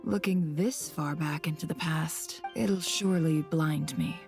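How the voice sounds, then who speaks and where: A woman playing a proud, composed boss in a video game, speaking in a measured, cool, wry and resolute way. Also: low voice, creaky, darkish tone, soft background music. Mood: grave, resolute. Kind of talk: dramatic video game dialogue.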